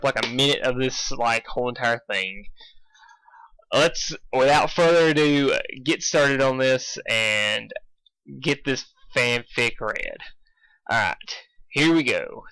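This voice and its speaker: A man reading fan fiction aloud in a casual, expressive way, stumbling over lines and poking fun at himself. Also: medium pitch, clear, resonant tone, no echo, low-quality recording. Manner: self-deprecating, swearing, stumbles over lines. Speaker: amateur fan fiction reader. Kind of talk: casual intro and expressive read-aloud narration.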